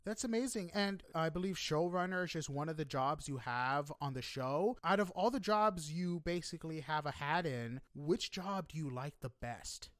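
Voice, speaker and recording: High-pitched man hosting, chatty and effusive. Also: lively intonation, normal speed, few pauses, fairly bright tone, no echo. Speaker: podcast host and interviewer. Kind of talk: casual interview with scripted-sounding greeting.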